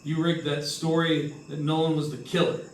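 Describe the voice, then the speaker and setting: A man's voice: fairly high pitch, steady tone, moderate pace, slight background noise, lightly acted. A man playing a wry, hardboiled investigator, narrating and talking in clipped old-time radio detective style. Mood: brisk, sardonic. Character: hardboiled, wry male insurance investigator narrating his case. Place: live stage performance. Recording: echoey live stage, distant mic, music behind. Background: music.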